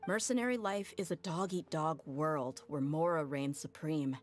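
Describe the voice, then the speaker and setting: A blunt, tough mercenary leader, voiced by a woman with a notably low, grounded voice. She talks frankly and guardedly, slipping into casual slang, with faint game music underneath. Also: normal speed, natural voice. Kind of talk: game cutscene dub.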